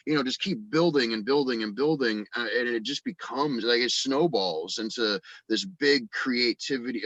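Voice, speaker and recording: A man chatting casually as a podcast guest, rambling excitedly as he tosses around creative ideas. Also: lowish voice, dry recording, poor audio. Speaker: podcast guest, audio drama writer and actor. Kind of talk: casual chat, brainstorming story ideas.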